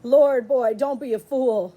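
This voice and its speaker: A woman voicing a gruff but tender rural father from a storybook, blunt and folksy. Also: high voice, steady tone, a little slow, narrow, tinny audio. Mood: firm reassurance.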